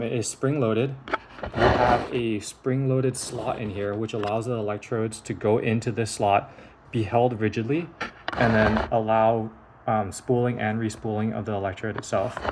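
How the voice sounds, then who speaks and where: A man's voice: mid-range voice, noisy background. A man giving a hands-on technical demonstration of a device in a methodical, explanatory manner. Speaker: engineer presenting a prototype device.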